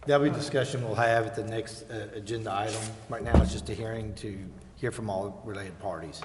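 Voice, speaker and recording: Male meeting chair, lower voice, procedural talk, reverberant hall with clear background noise. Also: brisk. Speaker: chair of a city council meeting. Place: council chamber. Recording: echoey hall, long reverb, noticeable noise.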